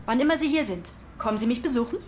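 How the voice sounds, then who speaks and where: An actress playing a sociable, forthcoming Danish diplomat who chats as a neighbour in a period film. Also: female voice, a little high, animated, very fast, a little hiss or hum, lightly acted. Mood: inviting. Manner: chatty, forthcoming. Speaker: film actress in a supporting part.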